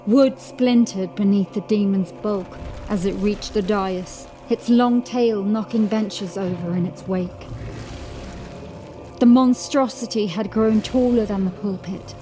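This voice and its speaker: A woman reading scripted horror fiction as narrator, in a dramatic, measured storytelling manner. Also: mid-range voice, mellow. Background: music.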